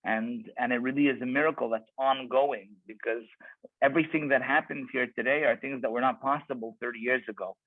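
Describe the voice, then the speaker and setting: A man's voice: medium pitch, steady tone, brisk, no room sound. A man, a rabbi, giving an earnest talk over a video call and often repeating words as he goes. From Canada. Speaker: rabbi, guest speaker. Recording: tinny video-call audio.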